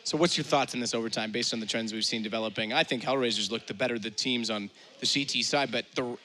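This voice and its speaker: A young male co-caster with a bright, edgy tone, trading jokes and analysis on a headset mic. His pitch rises sharply when he calls big plays. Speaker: esports co-caster. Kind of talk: color commentary and banter. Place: studio set with gaming stations.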